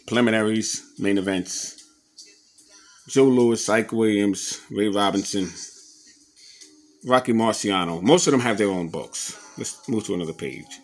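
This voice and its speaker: A man giving a casual show-and-tell commentary as an enthusiastic collector, halting a little as he reads old clippings aloud. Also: fairly low pitch. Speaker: boxing memorabilia collector showing his scrapbooks on video.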